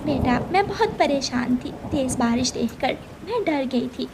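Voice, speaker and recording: Woman as caring mother bird, high voice, anxious, cartoon, loud rain behind. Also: lively intonation, a little slow, very noisy. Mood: worried. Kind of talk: children's cartoon story.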